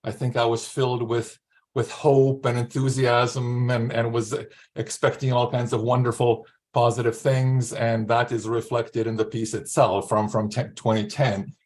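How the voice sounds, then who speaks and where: Man, 60s, from Slovakia. Author at an online reading, slow and earnest, medium pitch. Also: flat delivery, darkish tone. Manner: halting, repeats words, earnest. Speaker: writer reading own short fiction. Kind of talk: informal spoken introduction and author's reading at an online literary event. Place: remote video call.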